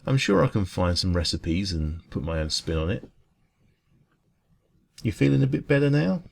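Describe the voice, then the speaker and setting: A man plays a kindly dragon café keeper with a low, gravelly voice. He is soft-spoken and chatty, reassuring a late customer in a soothing ASMR roleplay. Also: full character voice. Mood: friendly, curious. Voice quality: soft, calming. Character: kind, friendly male dragon café owner closing up shop.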